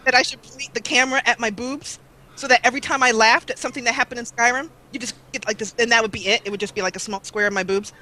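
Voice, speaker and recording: A woman's voice: a little high, fairly even intonation, fast talker, bright, forceful tone, low-quality recording. A young woman, a guest on an interview show, chatting casually with lots of fillers and restarts. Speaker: guest, let's player.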